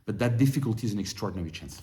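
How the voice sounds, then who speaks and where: Man teaching a halting, animated lesson. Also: lowish voice. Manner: halting, restarts and repeats words while explaining; grows more animated when working through a text. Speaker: Torah teacher. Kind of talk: informal study-group lesson.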